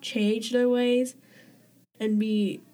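A woman's voice: fairly high pitch, level tone, very slow, dark tone. A woman talking as a podcast guest, in a casual, hesitant way with many fillers and restarts. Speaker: guest community member. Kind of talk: casual conversation.

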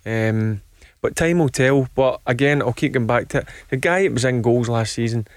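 A man's voice: medium pitch, normal speed, dry recording. Plain-spoken man on dry radio mic. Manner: steady, plain-spoken, repeats key points. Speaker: football pundit. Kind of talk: studio football discussion.

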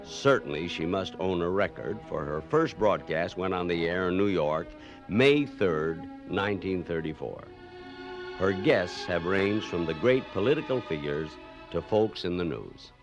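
A man's voice: sits low, lively intonation, unhurried, music in the background. A man hosting with scripted, nostalgic documentary narration, on a dull vintage recording. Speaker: host and narrator.

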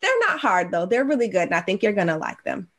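Woman, podcast host, effusive and affirming, quick to laugh, casual interview. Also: mid-range voice, sing-song, a bit bright. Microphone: podcast mic.

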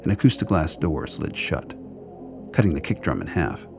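A man narrating a scripted radio drama in a deliberate, noir storytelling manner. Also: very deep voice, sing-song, vocal fry, music in the background.

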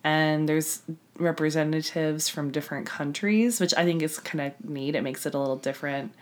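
Woman, 30s, podcast co-host, husky from a cold, very low-pitched, wry and dry, laughs a lot. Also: fairly even intonation, normal speed. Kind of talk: casual chat.

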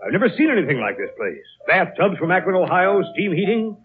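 An actor in a 1930s radio drama playing a hearty, plain-spoken traveler, breezy, folksy and exclaiming. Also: male voice, high voice, big pitch swings, a little fast. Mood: amazed, hearty. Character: hearty, nosy, plain-spoken American traveler, easily impressed man. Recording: old tinny radio recording.

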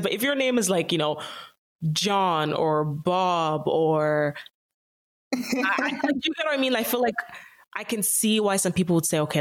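A young woman from Nigeria with a Nigerian accent mixed with Canadian, talking casually as an interview guest and filling her speech with "like" and laughs. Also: lowish voice. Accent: Nigerian with Canadian mix. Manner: chatty, many "like" fillers, laughs often.

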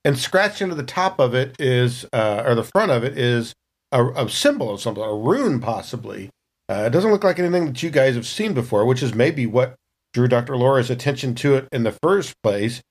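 A man's voice: mid-range voice, animated, brisk. A man narrating a tabletop role-playing scene in a casual, improvised storytelling style.

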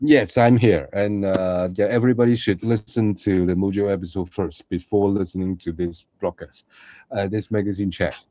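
A man's voice: low voice, steady tone, moderate pace, warm tone, dry recording, lo-fi sound. A middle-aged man from Hong Kong with a Hong Kong accent, chatting casually as a podcast co-host. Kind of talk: casual chat.

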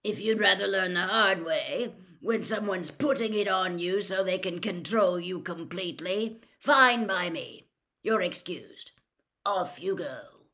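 A woman voicing a gruff, grizzled old male wizard teacher who growls and barks his commands loudly and slowly. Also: lowish voice. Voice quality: growling, gravelly. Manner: barking commands, loud and slow.

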